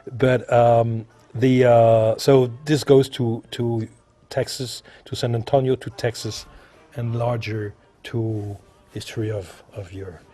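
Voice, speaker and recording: A man from France with a French accent, answering interview questions as an artist, halting and repeating himself as he searches for words. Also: mid-range voice, fairly even intonation, mellow. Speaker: visual artist being interviewed.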